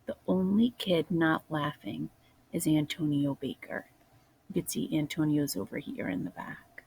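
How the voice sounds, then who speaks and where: Slow-reading woman, children's storybook read-aloud. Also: low-pitched, creaky, a little mellow, slightly rough, low-quality recording. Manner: deliberate, pausing to explain pictures to listeners. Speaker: storybook reader, teacher.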